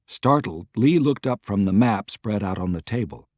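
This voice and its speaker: Low-pitched man narrating, dry studio sound. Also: lively intonation, moderate pace, a little mellow, no echo. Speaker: audiobook narrator. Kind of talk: scripted dramatic narration of a historical novel.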